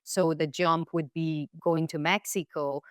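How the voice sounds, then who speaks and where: Kobieta o niskim głosie z kolumbijskim akcentem. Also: female voice, deep voice, fairly even intonation, a little slow, dry recording, clean studio sound. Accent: Colombian Spanish. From Colombia. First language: Spanish. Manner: reflective, many fillers and restarts. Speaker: guest actress. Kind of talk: casual interview chat.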